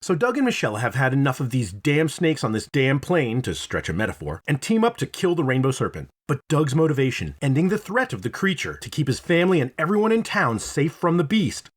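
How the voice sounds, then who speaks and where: Expressive husky man narrating a video essay. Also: medium pitch, fairly quick, few pauses. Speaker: video host, reviewer. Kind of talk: scripted video essay narration with casual swearing.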